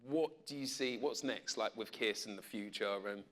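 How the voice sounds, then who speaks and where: Guy hosting a panel, bright punchy voice, slangy and jokey, super casual. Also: lowish voice, normal speed. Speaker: panel host, radio presenter. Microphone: event stage microphone.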